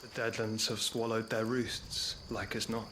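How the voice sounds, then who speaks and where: A grave, weary traveler played by a man in a game cutscene. His voice is fairly low and even, with somber restraint and determination. Insects and ambient noise are clearly heard behind him. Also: measured pace, lightly acted. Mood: grim. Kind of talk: video game cutscene acting.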